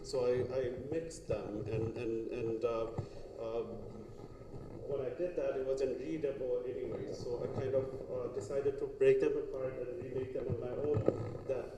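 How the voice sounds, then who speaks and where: A man's voice: medium pitch, steady tone, moderate pace. Hesitant man in an echoey gallery hall. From Bangladesh. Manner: hesitant, many fillers and restarts. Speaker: artist giving a talk. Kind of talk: casual artist talk, answering questions. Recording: echoey hall, noisy room.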